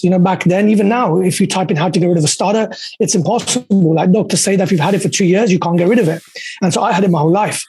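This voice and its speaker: A man with a husky voice, a podcast guest telling his story in a quick, earnest way and often repeating words. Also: sits high, a little mellow. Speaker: podcast guest, dentist and coach. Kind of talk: candid storytelling.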